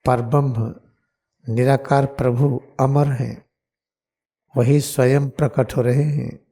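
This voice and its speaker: A man with an Indian accent leading a guided meditation as a teacher, giving deliberate, repeated, solemn instructions. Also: mid-range voice, level tone, warm tone, slightly rough.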